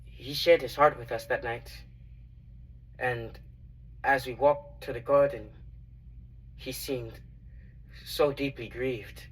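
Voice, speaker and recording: Man performing a reverent male disciple, dramatic monologue, slow and husky, long reflective pauses. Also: medium pitch, steady tone, distant mic, rough recording. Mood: grave, sorrowful.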